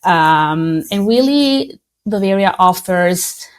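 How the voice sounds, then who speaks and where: A woman from Italy with an Italian accent, answering interview questions as a tourism representative in a measured, explanatory way. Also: lowish voice, animated. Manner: explanatory, measured, with small slips.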